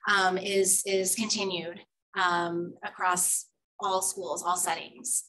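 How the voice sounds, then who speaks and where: A woman presenting program data at a school board meeting, steady and measured, with frequent ums. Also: medium pitch, poor audio. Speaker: school district English learner program presenter.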